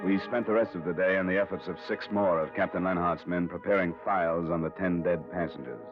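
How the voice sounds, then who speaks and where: A low-pitched, hard-boiled American investigator played by a male actor in a vintage radio crime drama. He is terse, cool and probing, with clipped noir delivery. The old broadcast sounds dull, with music behind. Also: fairly even intonation, brisk, lightly acted. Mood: terse, matter-of-fact. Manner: terse, matter-of-fact, probing. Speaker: lead actor and narrator. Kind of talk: 1950s radio detective acting, clipped noir narration.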